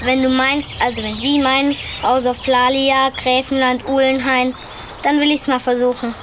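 A woman voicing a small, lively young male squirrel in an audio story, eager but a little timid. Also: high voice, moderate pace, a little hiss or hum. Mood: unsure.

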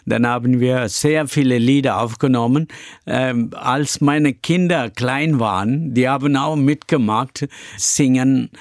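A middle-aged Indian pastor whose first language is Tamil answers interview questions in a halting way, pausing often with many hesitations and simple grammar. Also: male voice, mid-range voice, vocal fry, no room sound, clean studio sound. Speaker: pastor and church planter.

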